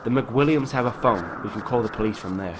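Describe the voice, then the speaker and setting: A man playing a worried small-town man who tells his story in a video game, gentle when he talks to children. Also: fairly low pitch, fairly quick, very noisy, natural voice. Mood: somber, reflective. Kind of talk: narrated game story with acted dialogue.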